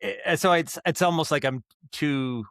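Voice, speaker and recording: An American man speaking as a podcast guest, telling stories in a casual, rambling and animated way. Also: fairly high pitch, normal speed, no room sound, clean studio sound. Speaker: podcast guest, audio drama writer and producer. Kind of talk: casual interview storytelling.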